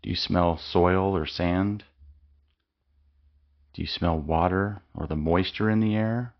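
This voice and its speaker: A man leading a guided mindfulness meditation with slow, calm, soothing instructions and long pauses. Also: low voice, highs cut off.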